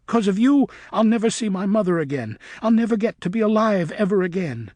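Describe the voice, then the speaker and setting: A man voicing a young female bat who is angry and grieving, shouting and wailing in a high voice. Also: expressive, slow pace, no echo, full character voice. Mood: raging.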